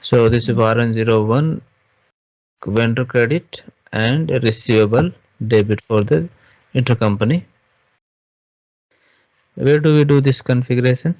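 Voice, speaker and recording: A man teaching software step by step in an informal screen-share tutorial, halting and often checking understanding with questions. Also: medium pitch, no room sound. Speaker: SAP software trainer. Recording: dull, lo-fi online class audio.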